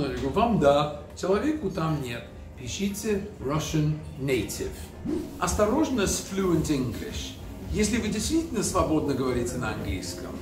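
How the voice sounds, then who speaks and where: A man in his fifties hosting a casual advice vlog, speaking straight to the camera in a restaurant room with a lively, direct manner. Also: on the high side, distant mic. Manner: lively, direct, talks with his hands while holding a glass. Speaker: video host. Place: indoors in a decorated restaurant room. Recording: roomy, a little off-mic, music underneath.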